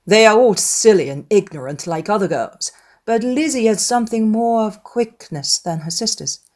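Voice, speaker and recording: A woman voicing a wry, sarcastic middle-aged husband in a dramatised novel, unhurried and teasing. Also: mid-range voice, expressive, a little mellow, natural voice. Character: dry, sarcastic middle-aged gentleman, long-suffering husband.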